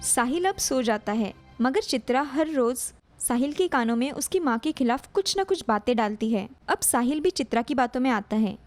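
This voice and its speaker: Female story narrator, high register, scripted delivery, light music underneath. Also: expressive, moderate pace, a little mellow.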